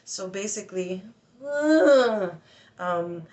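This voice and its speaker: A woman venting in a casual personal story, blunt and sweary, with halting, emphatic, agitated speech broken by sudden vocal tics. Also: mid-range voice, lively intonation, darkish tone. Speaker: vlogger telling a personal story.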